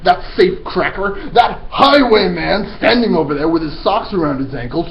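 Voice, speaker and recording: A man's voice: high voice, lively intonation, moderate pace, gravelly, dull audio, pitched above the performer's own voice. A man voicing a monstrous, furious, bombastic headmistress in a dramatic storybook read-aloud, bellowing insults with relish. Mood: outraged.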